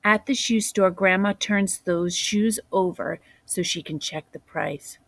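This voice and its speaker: A woman reading a children's picture book aloud in a deliberate way, as a teacher does for young listeners. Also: fairly low pitch, no echo. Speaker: storybook reader, teacher.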